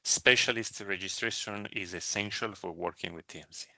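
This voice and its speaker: A man speaking as a medical director in a live question-and-answer session, explaining in a measured, careful way with hesitations. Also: fairly low pitch, gravelly, pushed and bright, dry recording.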